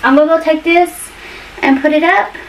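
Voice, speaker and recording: A woman's voice: sits high, fairly even intonation, normal speed. A woman in her thirties with a Southern US accent, chatting casually and folksily to the camera while she cleans at home. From United States. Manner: folksy, colloquial. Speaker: vlogger. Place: indoors in a cluttered home hallway. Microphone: camera mic, arm's length to a few steps away. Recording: distant microphone with noticeable room noise.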